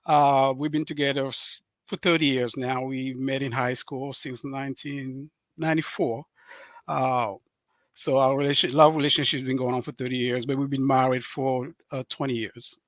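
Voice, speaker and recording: Halting middle-aged man, Cameroonian French accent. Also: mid-range voice, level tone, a bit bright, no echo. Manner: earnest, halting with many fillers and restarts. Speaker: author and guest. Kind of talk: live show interview.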